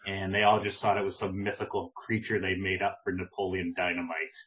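A man with a lowish but strikingly bright, forceful voice co-hosts a casual podcast. He is laid-back and teases his partner dryly. The recording is a bit dull and lo-fi. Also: little pitch movement, a little fast. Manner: laid-back, dry teasing. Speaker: podcast co-host. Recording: dull lo-fi sound.

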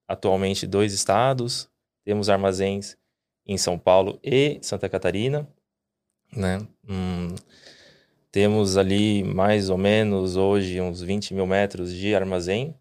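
A man hosting a casual business podcast, talking in an unhurried way with frequent tag questions. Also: low voice, a little mellow, clear, resonant tone. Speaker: host, company partner.

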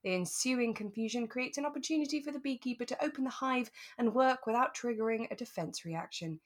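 A British woman co-hosting a relaxed chat podcast, giggly and self-mocking as she tells things in an animated way. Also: fairly high pitch, fairly quick. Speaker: podcast co-host. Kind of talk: relaxed chat about a radio drama.

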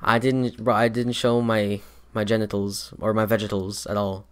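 A man's voice: on the low side, level tone, moderate pace, a bit bright, clear, resonant tone. A young man co-hosting a podcast in casual chat, rambling with lots of fillers and restarts, often laughing. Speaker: podcast co-host and rapper.

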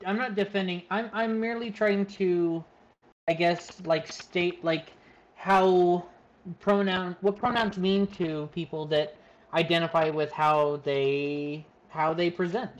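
A man with a fairly high voice for a man talks in a relaxed group chat. He hesitates often and fills his pauses with "like" and "uh.". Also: muffled recording. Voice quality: higher-pitched for a man. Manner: hesitant, filler-heavy. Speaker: streamer. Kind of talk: casual chat.

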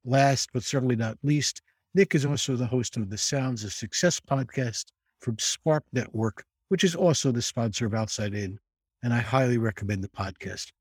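A man hosting a podcast, reading a polished introduction and then asking his guest questions in a measured, articulate way. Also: medium pitch, slightly rough, no room sound. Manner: measured, articulate, reads from a script. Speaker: podcast host. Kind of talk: scripted intro then interviewing.

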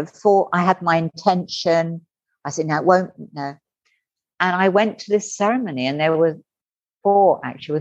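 A middle-aged woman telling her personal story as a podcast guest, candid and animated, acting out the voices she quotes. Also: lowish voice, no echo. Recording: dull lo-fi dry sound.

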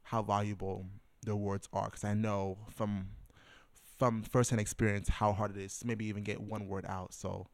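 A man's voice: lowish voice, flat delivery, moderate pace, gravelly, darkish tone, no room sound. Young man telling his story with fillers. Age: young adult. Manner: stutters and restarts, many fillers. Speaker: podcast guest. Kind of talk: personal testimony in casual conversation.

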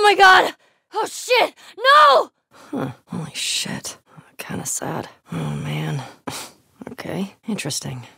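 A woman's voice: very high-pitched, gravelly, bright, forceful tone, dry recording, clean studio sound, heavily acted. An actress playing a tough, sardonic young American woman survivor in a video game, naturalistic and clipped, often speaking under her breath. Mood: panicked, screaming, then muttering, wary.

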